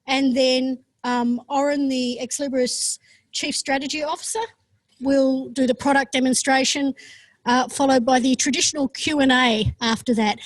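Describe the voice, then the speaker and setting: A woman chairing a conference session, introducing the speakers in a halting way with frequent ums. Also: high voice, steady tone, no echo, rough recording. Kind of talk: event introduction.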